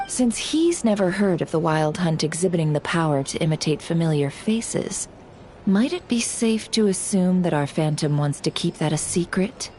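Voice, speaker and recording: An actress playing a cool, shrewd and secretive intelligence broker in video game dialogue, composed and businesslike. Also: female voice, lowish voice, expressive, moderate pace, darkish tone, a little hiss or hum, lightly acted. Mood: shrewd, confident. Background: music.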